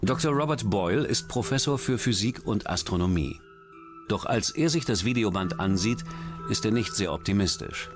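A man's voice: lowish voice, animated, moderate pace. A man reading scripted documentary narration in as the narrator. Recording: music under the voice. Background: background music.

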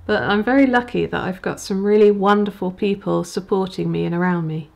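A woman in her forties, a vicar, chatting to camera in a small room at home, with small halting restarts. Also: medium pitch, vocal fry, darkish tone. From England. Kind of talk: casual vlog to camera. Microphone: handheld recorder near the camera.

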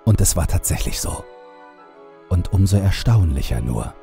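A man narrating a horror audiobook in a theatrical, deliberate literary storytelling manner. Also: deep voice, animated, gravelly, mellow, faint music behind.

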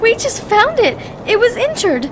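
An actress playing a frightened, soft-hearted young woman in a radio drama, anxious and pleading. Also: very high voice, very animated, moderate pace. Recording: noisy night-time drama soundscape.

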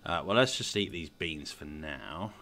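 Deep-voiced man, sniffly, thinking aloud. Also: animated, unhurried, pushed and bright, slight background noise. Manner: chatty, thinks aloud with many fillers. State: sniffly from a cold. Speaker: gameplay commentator. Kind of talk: casual let's-play commentary.

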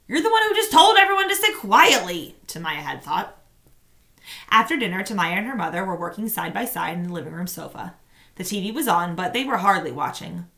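Female teacher, thirties, lowish voice, expressive children's read-aloud. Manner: expressive, acts out dialogue. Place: small room at home, indoors.

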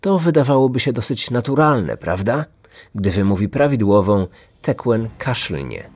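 A man's voice: medium pitch, very animated, normal speed, a little mellow, slightly rough. A man in his thirties reading scripted true-crime narration as a podcast host.

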